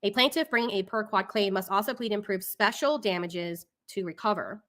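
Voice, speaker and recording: A woman's voice: mid-range voice, brisk, a bit bright. A woman hosting a casual live talk show, reading documents and commenting in an exasperated, opinionated way.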